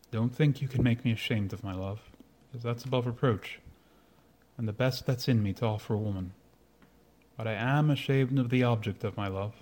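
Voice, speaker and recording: Proud, formal gentleman suitor played by an actor, medium pitch. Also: lightly acted. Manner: formal, earnest, measured. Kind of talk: radio drama acting.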